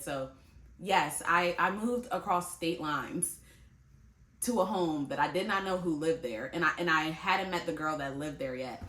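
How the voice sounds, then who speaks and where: A woman's voice: lowish voice, a bit bright. A woman in her late twenties talking casually and chattily to her viewers, addressing them directly and laughing easily. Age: 20s. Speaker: vlogger. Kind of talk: casual talk to camera. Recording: echoey room.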